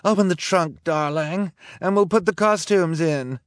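A man's voice: on the high side, measured pace, no echo, clean studio sound, voice pushed up, lightly acted. A man voicing a haughty, gushing glamorous villainess, the girlfriend of a villain, in an audiobook. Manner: affected, cackling, gushing. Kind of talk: audiobook character acting.

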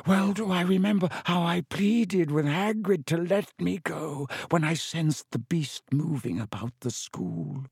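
A man voicing an ancient giant male spider in a storybook, speaking in a slow, solemn, formal way with grave menace. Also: on the high side, gravelly, a little mellow, husky, no echo, clean studio sound, voice pushed up, exaggerated voice. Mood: grave, fearful.

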